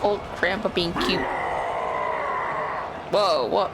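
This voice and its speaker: A woman's voice: lowish voice, slow pace, low-quality recording. A woman streamer giving casual, exclamatory commentary while playing a game. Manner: exclamatory, reactive. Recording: game music behind.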